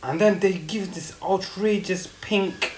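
A man's voice: high voice, fairly even intonation, takes it slowly. A young man from Ethiopia, co-hosting a casual chat in a blunt, teasing way with street slang. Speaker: podcast co-host. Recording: echoey room with faint noise.

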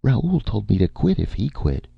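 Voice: low-pitched, sing-song, a little slow, vocal fry, slightly rough, dry recording, dull audio, pitched above the performer's own voice, exaggerated voice. A man voicing a naive, stubborn, devoted female film star with a simple, earnest way of talking.

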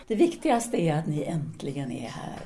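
A woman's voice: low voice, big pitch swings, warm tone. An actress playing a composed, possessive intellectual French woman in an audio drama, formal and self-assured in her speech. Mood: tender.

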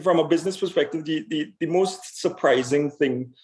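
A man from Trinidad and Tobago answering interview questions conversationally as a store owner, haltingly, repeating words as he searches for phrasing. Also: a little high, a little mellow, slight background noise.

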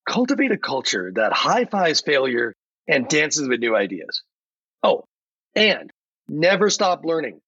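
A man's voice: mid-range voice, bright, forceful tone, breathy edge, dry recording, lo-fi sound, full character voice. A man voicing an upbeat AI chatbot guest, giving punchy, slogan-like scripted answers on a podcast. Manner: peppy, punchy, slogan-like delivery.

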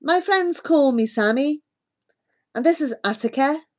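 A woman's voice: high voice, animated, unhurried, voice pushed up. A woman voicing a friendly, protective young boy who talks in a reassuring way.

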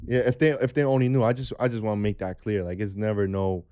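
Twenties male guest, warm mellow tone, earnest and sincere. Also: on the low side, fast talker, clear, resonant tone. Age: 20s. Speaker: podcast guest. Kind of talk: casual podcast chat.

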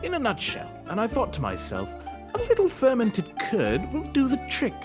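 A man playing a pompous, wordy gentleman customer, affectedly polite and florid, in a comedy sketch. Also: very high voice, huge pitch swings, normal speed, over music, pitched above the performer's own voice, full character voice. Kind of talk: British sketch comedy reenactment.

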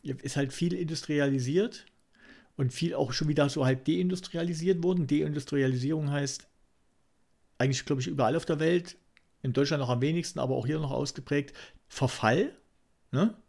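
Gravelly, creaky man chatting casually. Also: on the high side, normal speed, darkish tone. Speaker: podcast host. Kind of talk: casual conversational chat.

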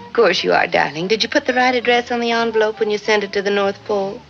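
A woman's voice: mid-range voice, a little fast, creaky, fairly bright tone. An actress playing a sarcastic wife who mocks her meek husband, in 1950s film noir style. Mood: mocking. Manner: mocking, cutting, sweetly sarcastic. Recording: dull old film soundtrack with score. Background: film music.

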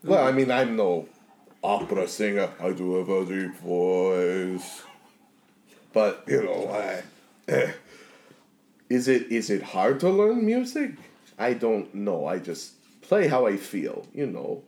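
A man voicing a gruff, simple-minded male barbarian who was raised in the wilds, talking slowly and bluntly. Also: on the low side, big pitch swings, darkish tone, echoey room, pitched below the performer's own voice, exaggerated voice. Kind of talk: tabletop roleplay in character.

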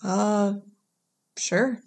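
A weary enforcer off duty in an audio drama. She talks slowly and casually at a medium pitch, drawing her words out. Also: steady tone, darkish tone. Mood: weary, curious.

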